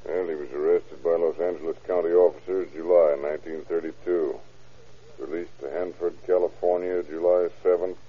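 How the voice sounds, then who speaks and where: An actor playing a brisk, matter-of-fact lawman in 1940s radio crime drama style. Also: low voice, fairly even intonation. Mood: businesslike. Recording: dull old radio drama recording.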